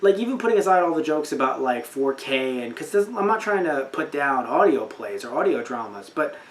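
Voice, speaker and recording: A man's voice: medium pitch, sing-song, brisk. A young man podcast host chatting casually about anime in a rambling, incredulous way, often repeating himself. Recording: roomy echo, distant from mic.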